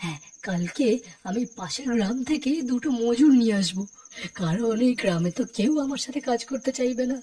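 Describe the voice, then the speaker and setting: A woman's voice: on the high side, lively intonation, brisk, mellow, breathy edge. A woman voicing a sly, dishonest village farmer man in a cartoon, wheedling and stammering in false innocence. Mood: gloating. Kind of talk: cartoon character acting.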